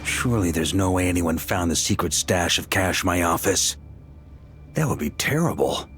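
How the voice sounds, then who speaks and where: Jovial veteran knight commander. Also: male voice, low-pitched, animated, moderate pace, a bit bright, slight background noise. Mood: amused. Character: confident veteran grand master of knights, jovial. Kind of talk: video game voice acting.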